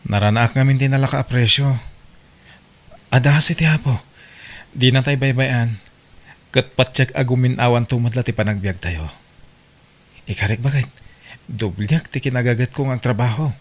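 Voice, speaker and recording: A man with an Ilocano Filipino accent playing a gentle, apologetic farmer husband and worried young father in an audio drama. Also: medium pitch, normal speed, pauses often, close to an everyday voice. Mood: pleading, apologetic.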